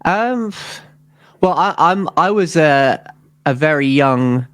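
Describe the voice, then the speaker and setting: A man's voice: on the high side, animated, slow pace, fairly bright tone, dry recording. Rambling southern English man, dry close sound. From southern England, UK. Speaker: interview guest, band musician. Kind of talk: casual chat.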